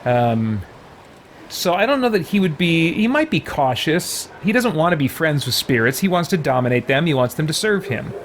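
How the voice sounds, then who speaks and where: A man's voice: on the high side, sing-song, normal speed, a little hiss or hum. A man hosting a casual roleplay let's play, thinking aloud hesitantly as he comments. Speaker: gaming channel host.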